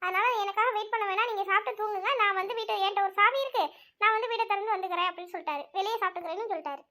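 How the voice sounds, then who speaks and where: A woman's voice: very high voice, very fast, bright, forceful tone. A woman voicing a worried aunt who frets and complains about family news. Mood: reassuring. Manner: fretting, complaining.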